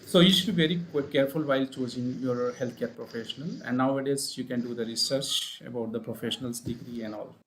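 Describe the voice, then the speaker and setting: A man with an Indian accent, a speech therapist hosting a live stream, speaking in a measured way with many fillers and repeated points. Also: medium pitch, slight background noise, poor audio. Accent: Indian English. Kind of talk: live-stream talk and advice. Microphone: online live stream.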